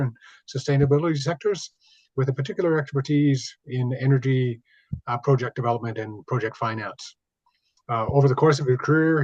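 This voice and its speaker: A man hosting a webinar, introducing a speaker in a relaxed way with lots of fillers. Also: mid-range voice, no room sound, muffled recording. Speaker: webinar host.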